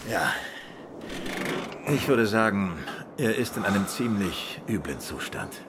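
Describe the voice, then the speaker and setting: Lebhaft intonierender, beruhigender Notarzt. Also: male voice, lowish voice, animated, takes it slowly, heavy background noise. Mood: calm, soothing. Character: brisk, reassuring male doctor at an emergency. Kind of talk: German audio drama acting. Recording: loud vehicle ambience behind.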